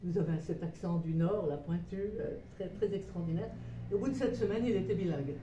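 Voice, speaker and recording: A woman's voice: fairly low pitch, fairly quick, noisy background. A woman presenting her book to a small audience in a meeting room. She tells it as a digressive story, full of hesitations and restarts. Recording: roomy echo, voice far from mic.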